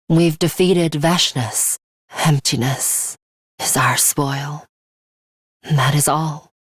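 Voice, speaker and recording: A woman's voice: lowish voice, no room sound, studio quality. An actress playing a stern, composed young woman fighter in an English video game, blunt and guarded, with clipped, formal, matter-of-fact delivery. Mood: resolute, flat.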